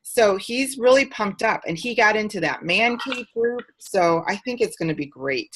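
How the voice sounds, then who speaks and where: A woman's voice: mid-range voice, lively intonation, moderate pace, a bit bright, slight background noise, low-quality recording. A woman in her forties chatting casually and upbeat on a group video call. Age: 40s. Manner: chatty, upbeat, fillers like "you know". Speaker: group host.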